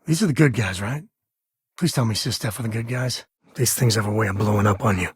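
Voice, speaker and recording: Husky, wry space frontier ranger. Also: male voice, fairly low pitch, a little fast, no room sound, studio quality. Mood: wry, sardonic. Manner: folksy, wry, drawling informal speech. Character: laid-back, sardonic male space frontier ranger and devoted father. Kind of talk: video game companion dialogue.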